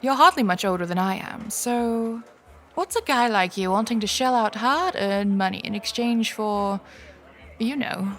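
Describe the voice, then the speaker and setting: A woman playing a sardonic, wary young woman short of money in an audio drama, with wry, expressive inner monologue. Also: a little high, a little slow, long reverb tail. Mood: wry, awkward. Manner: wry, expressive, with sighing interjections. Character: young cash-strapped woman, sardonic, wary, inwardly flustered. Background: music.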